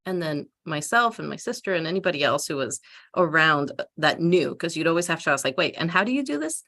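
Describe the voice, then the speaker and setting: Informal woman storyteller with a lowish voice. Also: big pitch swings, fairly quick, fairly bright tone. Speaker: panelist. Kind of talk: casual personal storytelling.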